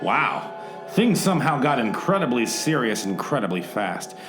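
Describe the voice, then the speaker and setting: A man's voice: mid-range voice, big pitch swings, normal speed, natural voice. A man playing a self-styled hard-boiled hero who narrates a parody radio play with melodramatic swagger. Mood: dramatic narration. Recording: echoey room sound. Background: music.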